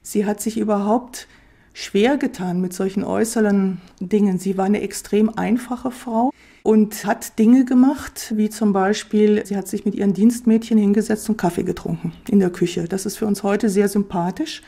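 A woman with a mellow, dark-toned voice of middle pitch. She explains spontaneously, as an expert being interviewed would, in conversational sentences. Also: fairly even intonation, normal speed, vocal fry.

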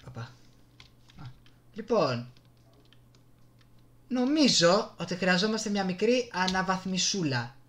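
A man with a Greek accent doing casual live gameplay commentary, excitable, exclaiming and muttering to himself into a desktop gaming microphone. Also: high-pitched, pauses often, a little hiss or hum. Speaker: gaming YouTuber.

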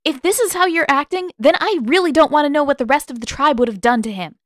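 Storybook role: woman playing a defiant, indignant teenage girl, raised high pitch, sharp accusing delivery. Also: quick pace, no echo, clean studio sound, lightly acted.

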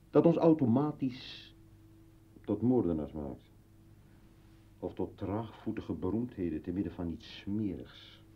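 An actor playing a brooding, philosophical old man with bitter irony in a declamatory, halting radio play monologue. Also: low-pitched, mellow, slightly rough, exaggerated voice. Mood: bitter, accusing. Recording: dull vintage radio drama recording.

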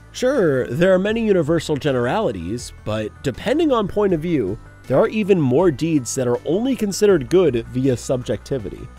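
Lively sarcastic young man. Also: fairly high pitch. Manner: sarcastic, self-mocking asides. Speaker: video essay host. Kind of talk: casual commentary.

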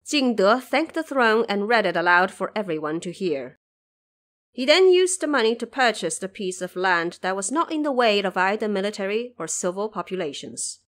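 A woman narrating a classic adventure tale in a measured, scripted storytelling manner. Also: medium pitch, a bit bright. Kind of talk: scripted audio drama narration.

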